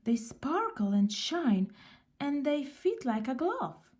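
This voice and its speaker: A woman voicing a delighted, effusive gentleman customer from a children's storybook. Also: high-pitched, big pitch swings, takes it slowly, pitched above the performer's own voice, exaggerated voice.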